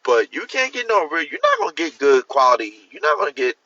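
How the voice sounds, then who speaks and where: A man from Miami chatting casually on a livestream, rambling with restarts and jumping up in pitch to stress a point. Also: wildly swooping pitch, a little fast, bright, forceful tone, muffled recording. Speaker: author hosting a livestream. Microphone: phone or computer mic.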